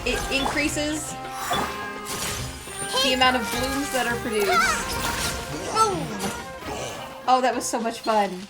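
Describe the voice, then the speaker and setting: A woman's voice: high-pitched, slow, long pauses, low-quality recording. A woman streamer giving casual, enthusiastic live game commentary and chatting to her viewers. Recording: game music behind voice.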